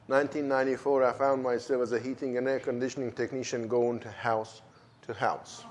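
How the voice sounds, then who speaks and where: Fervent man with a German-Turkish accent. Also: mid-range voice. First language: not English. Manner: emphatic, fervent storytelling with acted-out dialogue. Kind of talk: personal testimony.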